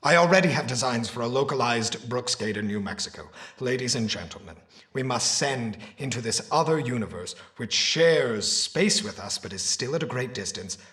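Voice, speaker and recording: A man's voice: medium pitch, lively intonation, moderate pace, fairly bright tone, lightly acted. An actor playing a driven, grandiose male scientist in an audio drama, speaking formally and emphatically. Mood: impassioned speech.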